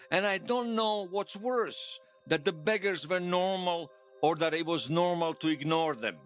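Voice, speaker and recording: An earnest veteran believer in a cause, telling his story in a fiction podcast. His voice sits fairly high for a man and swings expressively, with emphatic, conversational delivery over music. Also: a bit bright. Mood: impassioned, bitter. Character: earnest male veteran loyalist recounting an uprising, fervent believer. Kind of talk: fiction podcast monologue told as interview testimony.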